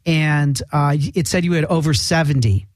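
A man hosting a talk show, interviewing a guest with joking, deadpan teasing. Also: on the high side, normal speed, dry recording, clean studio sound. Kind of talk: comedic interview.

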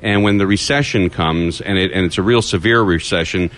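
A man's voice: low-pitched, brisk, fairly bright tone. A middle-aged man hosting a radio talk show, chatting casually and jokingly in a hesitant, rambling, self-deprecating way.

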